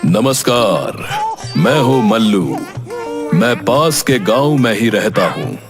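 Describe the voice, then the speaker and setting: A man voicing a slow-witted but kindly village wrestler with a simple, plain-spoken manner in an animated folk tale. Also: lowish voice. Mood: pleased, blunt. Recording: music behind the voice.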